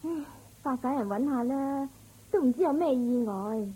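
A woman's voice: sits high, moderate pace, dark tone, husky, low-quality recording. A woman playing a fretful queen and worried mother in a radio drama, with a plaintive, emotional delivery. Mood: worried, soothing.

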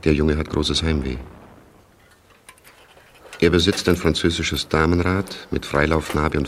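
A man delivering sober, factual, documentary-style scripted narration in a radio play. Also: very deep voice. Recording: noisy, war sounds behind.

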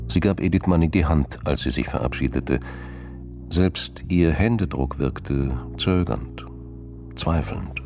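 A male narrator tells a crime story for a radio play. His voice is extremely deep, with a gravelly creak through the scripted lines. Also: a little mellow.

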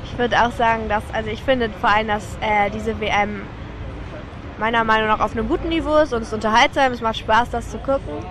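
A woman's voice: fairly high pitch, steady tone, a little fast, noisy background. A woman being interviewed, sharing her views in casual conversation. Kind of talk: casual opinion chat.

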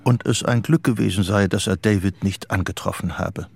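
Low, creaky male narrator, dry sound. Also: normal speed. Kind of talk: scripted literary narration for radio drama.

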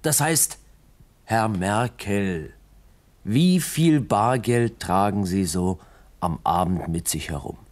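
An actor playing a persistent, exasperated police detective in a radio crime play, probing and cutting in with questions. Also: male voice, on the low side, expressive, takes it slowly, no echo. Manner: probing, interrupting. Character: persistent, exasperated male police detective, father of a small child.

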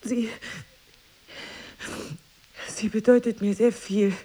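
An actress playing a middle-aged, wounded and jealous wife in an intimate drama, with intense, emphatic phrasing. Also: female voice, fairly high pitch, fairly even intonation, slow pace, dark tone, husky. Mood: bitter, pained. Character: wounded, bitter, jealous wife, drinker, ageing and proud. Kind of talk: intimate stage-play dialogue and confessional monologue.

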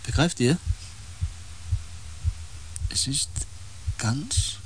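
An actor in a German radio play, playing a tormented, over-sensitive empath on a space expedition, intense and halting, moving between agitation and hushed awe. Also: male voice, mid-range voice, big pitch swings. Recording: noisy with ambient sound.

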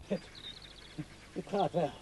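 An actor playing a mild older man in a radio play, out of breath and halting as he talks. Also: high voice, mellow, slight background noise. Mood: breathless, halting. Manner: halting, repeats words between breaths. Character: winded, mild older man out walking uphill.

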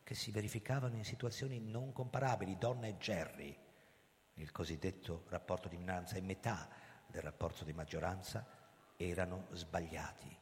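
An actor playing a weary, proud old police chief, telling his story in a theatrical, deliberate radio drama style. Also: sits low, fairly even intonation, slight background noise, natural voice. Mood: grave, quiet. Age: older. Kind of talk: dramatic radio play narration and dialogue over music. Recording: long hall reverb, loud music underneath. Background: loud music.

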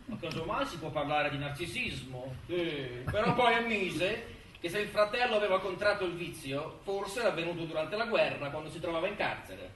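A moralizing male accuser, pompous and blustering in a high, bright voice. The courtroom harangue comes from some distance in an echoey room. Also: animated, moderate pace. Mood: contemptuous. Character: self-righteous male accuser, indignant, moralizing, contemptuous. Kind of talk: stage play acting, courtroom testimony and harangue. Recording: roomy distant stage recording, faint noise.

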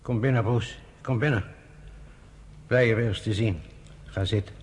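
An actor in a radio play, playing a pragmatic, avuncular senior British official with a husky, urbane, confiding manner. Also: male voice, lowish voice, normal speed, a little hiss or hum, natural voice. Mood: cordial. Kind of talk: Dutch radio play acting.

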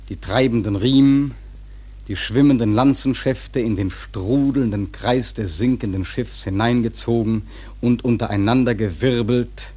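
Radio drama narrator: grave seafaring storyteller, husky, slow and measured, literary delivery. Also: male voice, fairly low pitch, darkish tone, full character voice. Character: grave seafaring storyteller recounting a whaling disaster. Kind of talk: dramatic scripted narration in German radio play.

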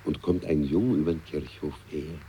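A man's voice: rumbling low, measured pace, mellow, a little hiss or hum. A man reciting a verse ballad in a theatrical, dramatic manner, savouring each line.

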